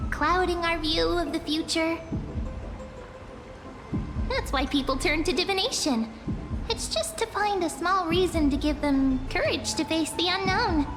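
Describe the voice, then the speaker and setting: A woman voicing a small, cute, girlish fairy creature in a video game, playful, coy and theatrical. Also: extremely high pitch. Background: background music.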